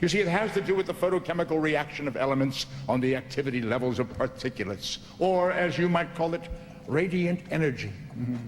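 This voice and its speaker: An actor playing an absent-minded, self-conscious eccentric inventor who mutters, chuckles and repeats himself in old-time radio drama style. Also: male voice, a little high, lively intonation, fairly quick, natural voice. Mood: secretive, proud. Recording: noisy, long reverb, ambience behind.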